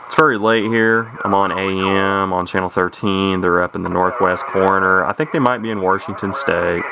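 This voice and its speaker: A man with a low, gravelly voice talks through a casual hobbyist demonstration. He is folksy and hesitant, rambling without a script. The home recording is clearly noisy, with CB radio static and chatter behind him. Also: steady tone, moderate pace. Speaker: vintage radio hobbyist presenting a video. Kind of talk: casual unscripted product demonstration. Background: noise and CB radio chatter.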